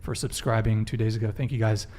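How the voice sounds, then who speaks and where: A man's voice: on the low side, fairly even intonation, fast, darkish tone. A man hosting a podcast panel, running through announcements and questions with joking asides. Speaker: podcast host. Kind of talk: casual panel talk.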